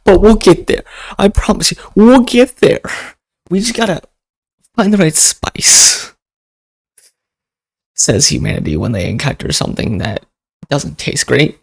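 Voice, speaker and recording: A video host giving casual reaction commentary in an informal, expressive, sweary way. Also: medium pitch, unhurried, vocal fry, long pauses, breathy edge, dry recording.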